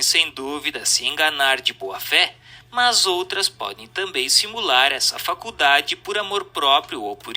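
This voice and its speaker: Bright-voiced Brazilian man narrating over music. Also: on the high side. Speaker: audiobook narrator. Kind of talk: scripted narration of a spiritist text, formal reading.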